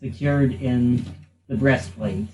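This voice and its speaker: A man's voice: medium pitch, slow, darkish tone, soft background music. A man playing a tabletop game, talking casually, at times in character. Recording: echoey room with faint noise.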